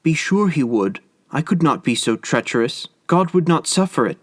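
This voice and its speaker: A man's voice: a little high, lively intonation, normal speed, dry recording, clean studio sound, pitched above the performer's own voice. A man reading aloud and voicing a desperate young wife who pleads breathlessly and urgently.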